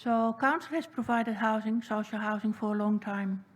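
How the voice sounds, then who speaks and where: A woman with a Dutch accent making a prepared public submission at a council hearing, speaking in a measured, deliberate and earnest way. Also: fairly high pitch, poor audio. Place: council chamber.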